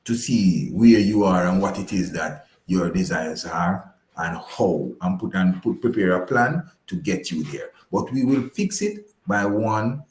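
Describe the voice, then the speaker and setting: Male advisor guest, Jamaican accent, very low pitch, halting explanatory delivery with repeated phrases, noticeably reverberant room sound. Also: darkish tone. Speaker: financial advisor, interview guest. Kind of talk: explanatory interview answers. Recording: roomy, echoing voice pickup.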